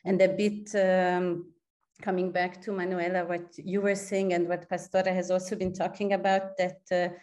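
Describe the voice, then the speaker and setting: A woman's voice: fairly low pitch, level tone, moderate pace, darkish tone. A woman from Hungary, asking a long, searching question on a video call, with many restarts and fillers. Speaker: audience member asking a question. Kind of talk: online seminar question.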